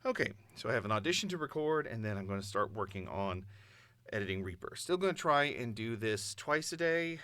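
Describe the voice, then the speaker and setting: A man's voice: on the low side, expressive, a little fast, pushed and bright, no room sound. A man chatting casually and off the cuff, as an amateur voice actor vlogging from a home recording space. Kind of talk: casual off-the-cuff vlog chat.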